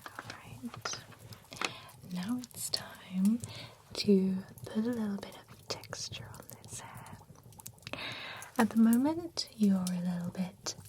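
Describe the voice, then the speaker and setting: A woman's voice: medium pitch, slow, long pauses, a little mellow, a little hiss or hum. A woman whispering in a chatty, playful way as a pampering spa stylist in an ASMR roleplay. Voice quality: mostly unvoiced whisper.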